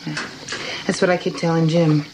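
An actress playing the troubled, guarded wife of a missing writer in a TV mystery, speaking in a measured, thoughtful way. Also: female voice, low-pitched, level tone, close to an everyday voice. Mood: reflective. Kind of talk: television mystery drama acting. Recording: noisy old TV soundtrack.

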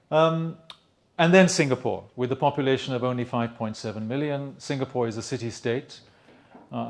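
An older man from Sri Lanka giving an academic lecture in a conference room into a handheld mic, measured, with many fillers and restarts. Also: mid-range voice. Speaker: economics lecturer. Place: conference room with projector screen.

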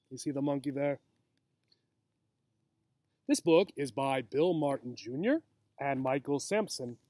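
Slow-talking man reading to young children. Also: fairly high pitch, a little hiss or hum. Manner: simple, encouraging talk addressed to young children. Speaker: teacher reading a picture book aloud. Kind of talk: children's read-aloud. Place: outdoors in a grassy yard. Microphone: camera or laptop mic at about arm's length.

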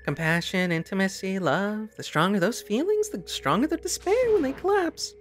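A high-pitched, swooping cartoon bear villain put on by a man, gleeful and mock-polite. The voice taunts in a theatrical sing-song, with game music playing behind it. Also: sing-song, moderate pace. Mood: mocking sympathy.

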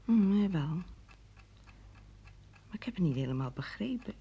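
An actress in a radio play playing a puzzled wife, intimate and gently questioning. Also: female voice, mid-range voice, expressive, normal speed, lo-fi sound, close to an everyday voice. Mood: puzzled, insistent. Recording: noisy old radio play recording.

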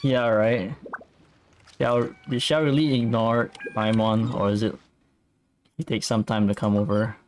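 A man giving casual, musing gameplay commentary as a streamer, in a halting way. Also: fairly low pitch, flat delivery, slight background noise. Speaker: game streamer.

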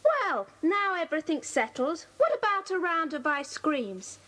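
A kindly, enthusiastic British schoolchild for a children's audio drama. The voice is very high. The delivery is earnest and chirpy. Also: female voice, big pitch swings, a little slow, a bit bright, heavily acted. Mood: cheerful.

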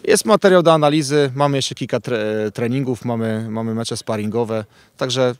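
A man in his thirties from Poland, a football coach giving a thoughtful, halting post-match interview outdoors at night into a handheld mic. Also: medium pitch, clear, resonant tone, no echo. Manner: thoughtful, halting, with many restarts and fillers. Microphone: handheld reporter mic.

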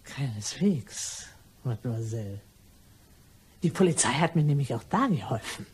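A woman's voice: rumbling low, expressive, normal speed, a little mellow, slight background noise. A woman playing a sly, chatty cleaning lady turned blackmailer in a crime radio play, ingratiating and mock-innocent in manner. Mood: smugly threatening.

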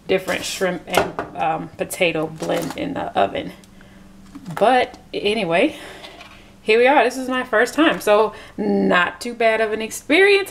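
A woman from Florida chatting casually through a home cooking vlog, self-deprecating and full of fillers, recorded on a camera in her kitchen. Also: medium pitch. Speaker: vlogger.

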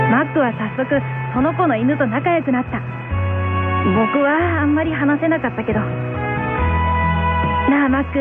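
A gentle, shy little boy in a radio advert, high in pitch. The sound is tinny, with loud guitar music behind. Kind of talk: scripted radio advertisement monologue.

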